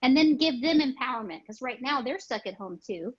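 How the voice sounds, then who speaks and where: A woman hosting a casual webinar discussion, brainstorming aloud with excitement and reading out chat suggestions. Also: high-pitched, a little fast, slightly rough, no echo, lo-fi sound. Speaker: webinar host. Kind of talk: casual panel discussion on a video call.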